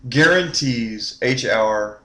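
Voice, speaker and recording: A man's voice: medium pitch, animated, slow, a bit bright, roomy sound. A man with a Texan accent co-hosting a casual gaming chat, joking and telling anecdotes. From Texas. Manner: laid-back, joking, tells anecdotes with quoted dialogue. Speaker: gaming podcast co-host.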